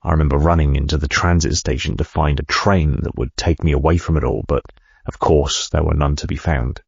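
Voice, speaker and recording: Give a very deep, husky corporate boss reading his memoir like an audiobook. He speaks in a measured, reflective way. The sound is close, dry and a little dull. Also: fairly even intonation, no room sound, heavily acted. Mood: haunted, reflective. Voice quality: gravelly, husky. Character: adult male corporate CEO reading his own autobiography. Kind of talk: scripted audiobook narration.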